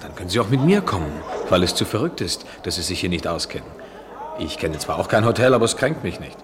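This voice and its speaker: Radio drama part: restless European man, low voice, poetic and wry, slightly noisy vintage recording. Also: very animated, quick pace. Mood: amused. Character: restless, wry European traveller, aloof yet attracted man. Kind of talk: 1970s German radio play acting.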